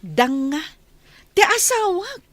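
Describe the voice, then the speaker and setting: A woman's voice: very high voice, very animated, slow pace, dry recording, heavily acted. A woman with an Ilocano accent playing a jealous, suspicious rich madam who questions her maids haughtily in a village comedy radio drama. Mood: suspicious, demanding.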